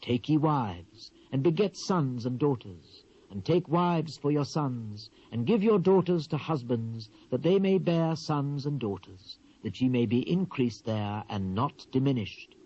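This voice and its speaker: A British actor playing a solemn male prophet in a dramatised King James Bible reading, speaking in a grave, measured, declamatory way with drawn-out phrases. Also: mid-range voice, lively intonation, warm tone. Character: solemn male Hebrew prophet proclaiming God's judgement. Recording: dull, muffled old cassette transfer.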